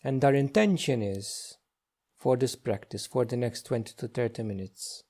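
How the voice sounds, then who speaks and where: A man leading a guided meditation as a teacher, speaking gently and slowly with long pauses. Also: mid-range voice. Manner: slow, hushed, gentle delivery with long pauses.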